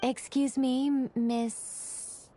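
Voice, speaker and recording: A woman's voice: sits high, little pitch movement, drawn-out words, dark tone, a little hiss or hum. A woman playing an eccentric, earnest and wordy young scholar mage in a video game, with awkward, hesitant phrasing. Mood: polite, keen. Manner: rambling, hesitant starts, wordy.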